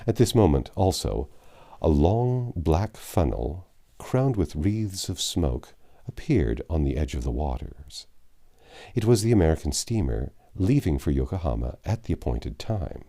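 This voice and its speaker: Very deep-voiced man narrating, animated and mellow. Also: unhurried. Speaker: audiobook narrator. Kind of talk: scripted storybook narration, reading a classic novel aloud.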